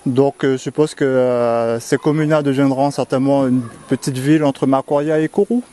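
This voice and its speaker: A man in his thirties from French Guiana, talking casually and calmly to the camera with frequent hesitations. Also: medium pitch, a little hiss or hum. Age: 30s. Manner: calm, unhurried, with hesitations.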